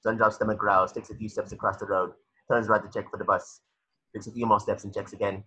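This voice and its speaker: A man's voice: lowish voice, flat delivery, fast talker, sharp tone, breathy edge. A man narrating a cartoon script's stage directions in a matter-of-fact way, sometimes stumbling over the words. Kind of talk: reading a cartoon script aloud.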